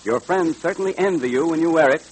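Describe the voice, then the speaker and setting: A man's voice: a little high, fast, husky, poor audio. An American man announcing and selling to children in 1930s radio style, with a hearty salesman's delivery.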